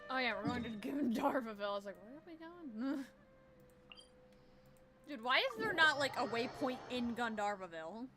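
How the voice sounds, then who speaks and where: A woman's voice: fairly high pitch, big pitch swings, moderate pace. A woman doing casual gameplay commentary in a slangy, exclamatory way. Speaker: gaming video host. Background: game music.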